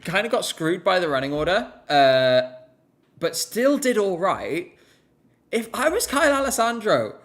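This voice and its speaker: A man's voice: a little high, a bit bright. A man hosting a live stream, talking casually and commenting as he goes.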